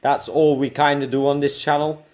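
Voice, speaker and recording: A man's voice: on the high side, flat delivery, normal speed, a little mellow, soft background music. A man from Belgium with a mixed UK, New Zealand and American accent, hosting a casual livestream and chatting upbeat to viewers while working, tired after a night awake. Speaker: livestream host. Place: home desk setup.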